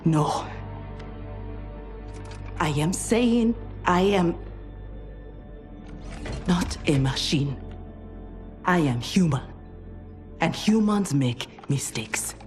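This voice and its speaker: A woman's voice: lowish voice, lively intonation, darkish tone, soft background music. An actress playing a proud, remorseful female warrior in a video game, speaking formally and earnestly. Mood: defensive. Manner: formal, deliberate diction. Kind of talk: video game cutscene acting.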